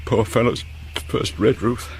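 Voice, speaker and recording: A British actor playing a grieving, remorseful gentleman squire in a radio drama. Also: medium pitch, a little slow, slight background noise, close to an everyday voice, rough recording. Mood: grief-stricken remorse.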